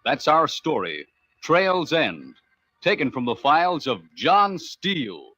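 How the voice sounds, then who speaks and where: A man's voice: on the high side, big pitch swings, slow pace, pushed and bright. A man working as a scripted announcer for a vintage radio drama. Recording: dull old radio recording, music behind.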